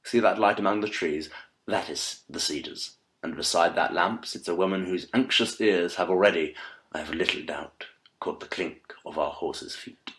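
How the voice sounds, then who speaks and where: An actor playing a keen, analytical detective in a dramatised audiobook, speaking articulately and emphatically in formal period diction. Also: male voice, low-pitched, moderate pace, a bit bright. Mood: calm, confiding.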